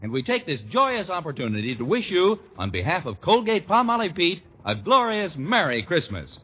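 A man's voice: high-pitched, sing-song, moderate pace, breathy edge. A man announcing a sponsored comedy show in 1940s radio style. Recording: dull vintage 1940s radio recording.

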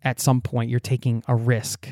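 A man hosting a travel podcast in a relaxed, chatty way, talking in a small side room of a restaurant. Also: medium pitch, moderate pace, dry recording, studio quality. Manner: relaxed, chatty, laughs easily. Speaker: podcast host. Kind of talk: casual interview chat.

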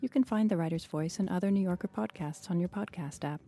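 Woman, literary interviewer, lowish pitch, relaxed mellow timbre, measured, articulate. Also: faint music behind. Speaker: podcast host, interviewer. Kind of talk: literary interview and podcast narration.

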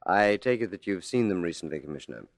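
An actor playing a hard-boiled, shrewd, musing police detective in an old-time radio mystery. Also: male voice, deep voice, animated, fast, creaky, dry recording, clean studio sound, heavily acted. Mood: musing, probing.

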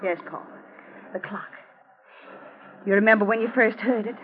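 An actress playing a scheming, vengeful young wife who taunts her husband slowly and menacingly, in 1940s radio acting style. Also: medium pitch, soft background music, close to an everyday voice. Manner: slow, mocking, menacing delivery. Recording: muffled old radio recording.

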